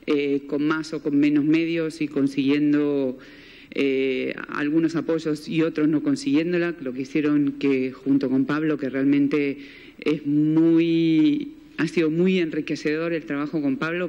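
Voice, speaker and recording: A film producer speaks at a press conference in a hesitant way, with many restarts. His voice sits fairly high and barely moves in pitch. The sound is dull and rings with a long hall reverb. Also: darkish tone. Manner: hesitant, full of restarts and fillers.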